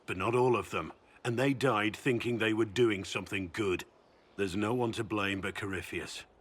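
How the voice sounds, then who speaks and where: An actor playing a solemn, devoted middle-aged warrior in a video game, speaking earnestly and gravely. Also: male voice, fairly low pitch, normal speed.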